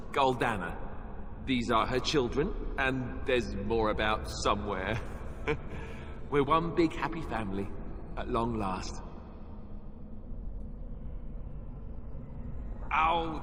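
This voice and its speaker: Happy naive young fighter in a game, mid voice, reverby. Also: male voice, mid-range voice, a bit bright, a little hiss or hum. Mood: blissfully happy. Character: cheerful, naive young warrior, contented and affable. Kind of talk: naturalistic video game acting.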